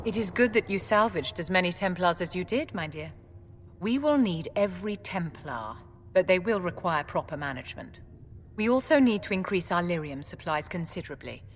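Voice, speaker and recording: An actress playing an elegant, imperious middle-aged enchantress in a video game, with a haughty, deliberate manner. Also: female voice, on the low side, animated, natural voice. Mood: stern, advising. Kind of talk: video game dialogue acting. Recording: noisy, long hall reverb.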